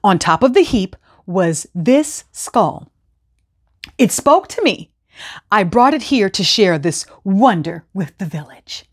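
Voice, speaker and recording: A woman voicing a pompous, excitable man who declaims self-importantly in a children's folktale. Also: mid-range voice, slow, breathy edge, heavily acted.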